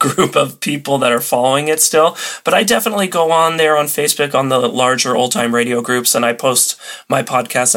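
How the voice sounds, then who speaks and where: A man with a mid-pitched voice talks as a guest on a podcast. He is friendly and easygoing, explaining things in a casual, conversational way like a keen hobbyist. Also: fairly bright tone. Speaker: podcast guest, old-time radio hobbyist. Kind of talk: casual conversational interview answers.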